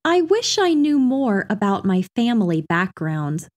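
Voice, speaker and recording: Slow, lively woman reading practice phrases. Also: medium pitch. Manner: clear, carefully enunciated delivery. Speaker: English lesson reader.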